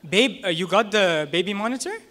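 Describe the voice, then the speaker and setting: A man's voice: sits high, expressive, fairly quick, bright, forceful tone. A man in his thirties doing a stand-up set on a small club stage, talking into a handheld mic in a conversational, self-deprecating way. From United States. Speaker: stand-up comedian. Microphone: handheld stage microphone.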